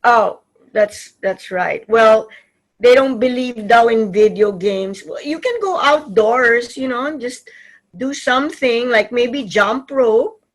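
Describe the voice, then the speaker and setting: Actress as a slow, gentle, hopeful mother. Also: on the high side, low-quality recording. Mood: cheerful, coaxing. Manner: soothing, hesitant. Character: gentle, hopeful, placating Filipina wife and mother. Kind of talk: staged play reading.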